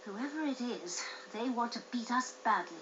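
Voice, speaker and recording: A woman's voice: on the high side, expressive, moderate pace, lightly acted. A woman voicing an earnest, urgent racing teammate in a video game cutscene. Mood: urgent warning. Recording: dull game audio, faint noise.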